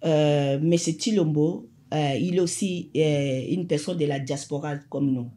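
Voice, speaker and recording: A woman from Congo, a guest in an interview, answering slowly and hesitantly. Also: low voice, mellow. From Democratic Republic of the Congo. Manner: slow, hesitant.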